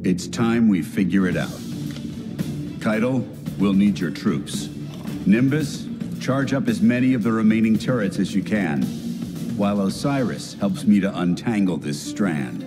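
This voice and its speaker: Music plays clearly under a commanding battle leader from a video game. His lowish voice is firm, decisive and directive. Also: expressive, unhurried. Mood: resolute. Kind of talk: video game cutscene acting.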